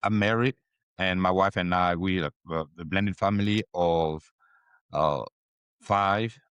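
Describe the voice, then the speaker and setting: Deep-voiced man with an Ivorian French accent. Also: a little slow, a bit bright, clear, resonant tone, dry recording. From Ivory Coast. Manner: hesitant, many fillers and restarts. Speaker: podcast guest. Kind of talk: casual interview talk.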